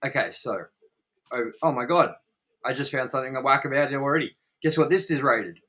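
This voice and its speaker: A man's voice: mid-range voice, brisk, bright, forceful tone. A man hosting a casual movie review chat, exasperated and prone to swearing. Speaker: host of a movie review series.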